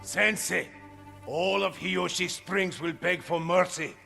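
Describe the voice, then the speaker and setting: A menacing messenger in a video game drama. The voice is high and heavily gravelled, with a halting, threatening delivery set against clear background music. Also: male voice, pushed and bright, full character voice.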